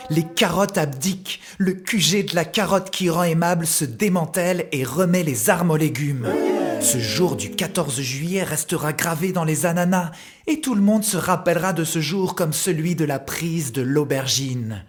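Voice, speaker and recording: A man's voice: a little high, moderate pace. A man narrating a children's cartoon story in a mock-epic, theatrical manner.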